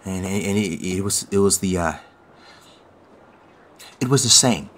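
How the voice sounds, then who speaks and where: An unsettled young man with a slightly low voice. He talks haltingly in a naturalistic audio drama way, doubling back on his words as he searches for them. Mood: hesitant, puzzled. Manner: halting, hesitant, repeating words. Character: unsettled young man puzzling over a strange dream.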